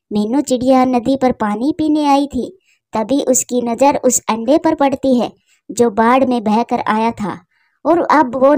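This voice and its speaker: High, mellow woman storyteller. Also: no room sound. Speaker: narrator. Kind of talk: scripted children's story narration.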